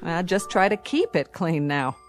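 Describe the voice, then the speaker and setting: An actress in a radio drama playing a practical, caring nurse at a roadside cafe, matter-of-fact and reassuring. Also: female voice, fairly low pitch, expressive, unhurried, soft background music.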